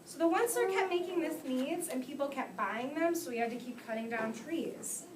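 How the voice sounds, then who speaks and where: A woman's voice: high voice, lively intonation, fairly quick, noisy background. A woman teaching young children during a read-aloud, speaking in simple, repeated instructions and prompting questions. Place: classroom, recorded from across the room. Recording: echoey room, distant mic, loud children's chatter. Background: young children talking.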